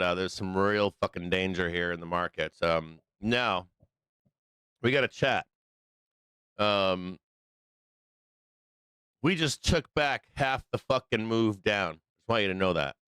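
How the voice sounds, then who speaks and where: Hoarse, profane stream host, low voice. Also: male voice, a bit bright, no echo. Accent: Midwestern American. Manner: rambling, swears constantly, frequent ums. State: hoarse, losing his voice. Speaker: live-stream trading host. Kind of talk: casual profane live-stream chat.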